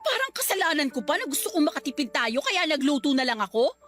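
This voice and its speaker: A woman's voice: high voice, fast, soft background music. A woman playing an emotional young mother and wife in a radio drama. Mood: angry, sarcastic. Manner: emotional, expressive. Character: devoted young mother and resentful, sharp-tongued wife. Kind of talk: Tagalog radio drama acting.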